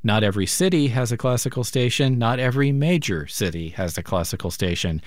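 A male radio co-host making a pledge drive appeal and thanking listeners warmly. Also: medium pitch, animated, a little fast, no echo, clean studio sound.

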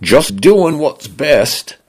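A man voicing a talking smart home, a male voice with a British, Cockney-leaning accent, bright and friendly but bossy. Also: on the high side, sing-song, drawn-out words, gravelly, voice pushed up, exaggerated voice. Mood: cheerful. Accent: Cockney-leaning British, put on.

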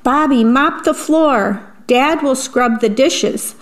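A bustling, bossy picture-book parent handing out jobs, as a woman reads aloud. The voice sits higher than her own and rings out a little louder. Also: slow.

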